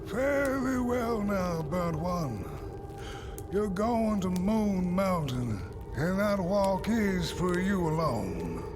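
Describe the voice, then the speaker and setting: An actor playing a folksy male wayside sage in a dark fantasy video game, speaking in a colloquial, theatrical way. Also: high-pitched, background noise, heavily acted. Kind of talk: video game character acting. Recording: music behind the voice. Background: music.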